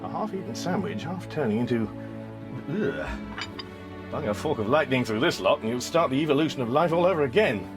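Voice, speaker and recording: A man's voice: medium pitch, sing-song, brisk, over music, lightly acted. An actor playing a mild, bemused man muttering wryly to himself in a radio drama monologue. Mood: musing. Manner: wry, musing, muttering to himself.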